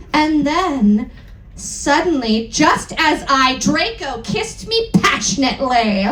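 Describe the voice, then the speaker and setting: A woman's voice: high voice, a bit bright. A woman giving a comedic dramatic reading of a story to a live audience in a theatrical, amused manner. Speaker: performer reading fan fiction aloud. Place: indoor live event venue. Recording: echoey hall, distant mic, background noise.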